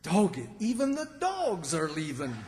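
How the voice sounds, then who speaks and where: A man's voice: high-pitched, huge pitch swings, a little slow, a little mellow, full character voice. A man playing a flustered, folksy older country character with a rural Southern American accent in an old-time radio comedy. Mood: puzzled. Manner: folksy, fretful, exclaiming. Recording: stage performance in reverberant hall.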